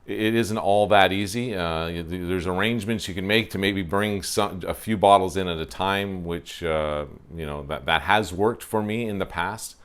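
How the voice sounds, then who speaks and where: Chatty man with a deep voice. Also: normal speed, few pauses, a bit bright, clear, resonant tone. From Ontario, Canada. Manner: chatty, self-deprecating, frequent fillers. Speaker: wine show host. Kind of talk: casual talk to camera. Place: covered porch, outdoors. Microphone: lapel mic.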